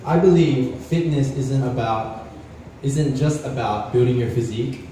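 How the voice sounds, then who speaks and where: A man in his twenties from Australia, a pageant contestant giving a confident, measured self-introduction on stage. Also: mid-range voice, dark tone, a little hiss or hum. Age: 20s. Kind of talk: prepared self-introduction speech. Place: large indoor hall stage. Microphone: handheld mic, filmed from far. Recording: echoey hall from far off.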